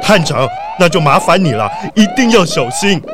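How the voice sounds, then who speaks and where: A man's voice: high-pitched, big pitch swings, normal speed. A man playing an earnest, dutiful police squad leader in a children's audio drama. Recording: crowd clamour and noisy ambience behind.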